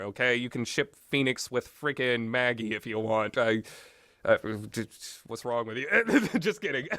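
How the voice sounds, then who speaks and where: A man's voice: medium pitch, a bit bright, smooth, dry recording, clean studio sound. A man giving unscripted, opinionated talk as a host, wandering off on tangents and swearing casually. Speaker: host of a video game discussion show.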